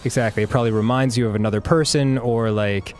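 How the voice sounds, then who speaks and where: A man in his thirties chats loosely over gameplay, rambling with plenty of fillers and small laughs. His first language was Hebrew, and he speaks at an ordinary pitch. Faint music plays underneath. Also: fairly even intonation, fast. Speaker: co-host. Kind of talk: casual gameplay chat.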